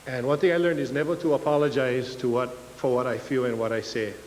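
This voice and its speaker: A man's voice: medium pitch, fairly even intonation, fairly quick, darkish tone. A young man from Hawaii with a Hawaiian Pidgin accent, giving a casual, joking guest talk at a lecture. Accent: Hawaiian Pidgin English. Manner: nervous, joking, self-deprecating. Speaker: guest speaker. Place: lecture hall. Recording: reverberant hall with faint hiss.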